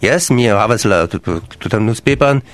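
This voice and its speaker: A man's voice: lowish voice, animated, brisk, no echo, natural voice. An actor in a live radio play, playing a flustered but helpful male newspaper seller who stammers and hesitates. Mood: puzzled, eager. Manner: stammering, hesitant, searching for words.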